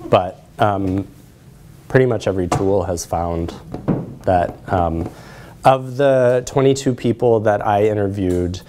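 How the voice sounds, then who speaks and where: An American man with a fairly low voice fields questions at a talk. He explains in a plain presenter's way, speaking indoors in a lecture room. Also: slow pace, warm tone, slight background noise. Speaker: presenter taking audience questions.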